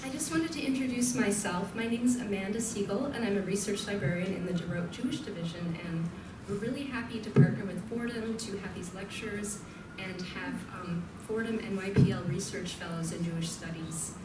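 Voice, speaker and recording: A woman librarian opens a lecture event in a friendly tone, with a few fillers along the way. Her voice has a middling pitch and comes from a distance in an echoey hall with steady noise behind it. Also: brisk. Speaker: research librarian. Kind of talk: event introduction. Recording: echoey hall from far off, noisy.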